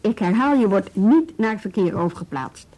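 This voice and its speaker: An actress playing a composed, tactful but firm female police bureau chief in a Dutch radio drama, speaking with measured authority. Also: mid-range voice, animated, warm tone, close to an everyday voice, low-quality recording. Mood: firm, calm.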